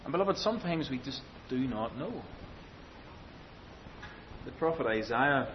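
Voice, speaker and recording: A man delivers an expository sermon in an emphatic, deliberate way, leaning on repetition and rhetorical questions. The recording is dull and lo-fi, with a faint rumbling noise underneath. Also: lively intonation. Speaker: preacher.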